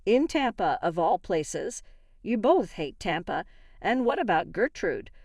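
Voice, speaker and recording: A woman's voice: medium pitch, sing-song, measured pace, darkish tone, dry recording, clean studio sound. A woman playing a wronged, indignant wife in a narrated story, argumentative and emphatic. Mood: bitter, firm. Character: wronged, indignant young wife confronting her in-laws. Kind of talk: acted dialogue in a narrated story.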